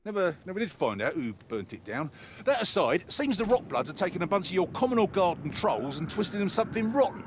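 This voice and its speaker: Sardonic veteran soldier, fairly high male voice, lively swooping pitch, grumbling game briefing. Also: brisk, a little hiss or hum. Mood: wry. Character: gruff, wry, world-weary male mercenary soldier. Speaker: video game character voice actor. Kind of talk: scripted in-character mission briefing monologue.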